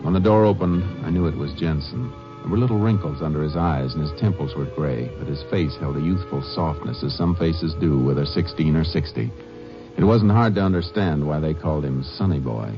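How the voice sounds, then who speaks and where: An actor playing a steady Texas Ranger in a 1950s radio drama, calm, firm and matter-of-fact, also narrating. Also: male voice, very deep voice, fairly quick, music in the background. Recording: muffled vintage radio with faint hiss.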